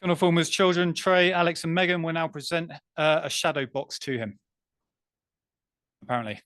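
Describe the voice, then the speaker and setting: A man's voice: on the high side, fairly even intonation, fairly bright tone, no echo, clean studio sound. Formal male ceremony announcer. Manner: measured, formal reading. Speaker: master of ceremonies.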